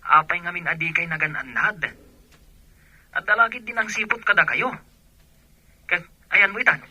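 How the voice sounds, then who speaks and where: A man with an Ilocano accent playing a nosy, incredulous friend through a telephone filter, with a sharp, husky voice. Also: high-pitched, normal speed, no echo, highs cut off, exaggerated voice.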